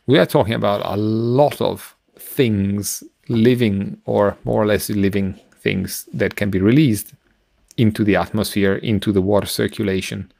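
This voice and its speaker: A middle-aged man explaining science informally as a podcast co-host, halting as he searches for words. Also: lowish voice, fairly even intonation, creaky, a little mellow, no room sound, studio quality.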